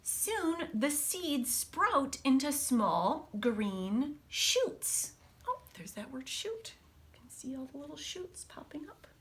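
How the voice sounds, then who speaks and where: Woman, teacher reading a storybook, higher-pitched voice, slow careful pace, expressive delivery, quiet explanatory asides. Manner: animated storybook delivery, dropping to hushed asides. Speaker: teacher reading a picture book to children. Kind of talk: expressive read-aloud with casual explanatory asides.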